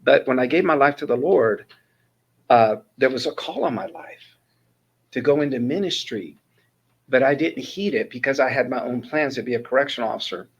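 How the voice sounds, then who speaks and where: A man's voice: mid-range voice, normal speed, breathy edge, lo-fi sound. A man in his sixties from Northern California, a ministry mentor coordinator telling his life story as an interview guest, earnest and prone to restarting his sentences. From Northern California, USA. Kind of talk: casual interview talk, personal testimony.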